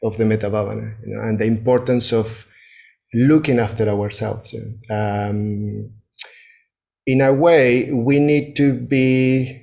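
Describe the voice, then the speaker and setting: A man's voice: medium pitch, dark tone. A man from Venezuela with a Venezuelan Spanish accent, giving a reflective Buddhist talk to a group in a hesitant way, often checking in with a "yeah". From Venezuela, Andes.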